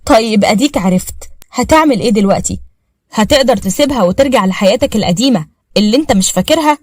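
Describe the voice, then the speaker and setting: A woman's voice: animated, quick pace, darkish tone. A woman with an Egyptian accent voicing a distraught young wife who pleads and then rages. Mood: bitter. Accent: Egyptian Arabic. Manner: pleading, then shrill and raging.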